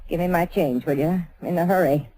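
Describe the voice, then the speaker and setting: An actress playing a sharp-tongued older woman prospector, curt and blunt, in a 1940s radio drama. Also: fairly low pitch, fairly quick, dry recording, lightly acted, poor audio. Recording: old radio broadcast recording.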